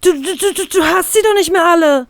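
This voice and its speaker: A woman voicing an excitable, anxious little sailor boy who stammers in agitation in an audio story. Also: very high voice, brisk, creaky, dry recording, pitched above the performer's own voice. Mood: indignant. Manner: stammering, agitated, breathless whispering and scolding.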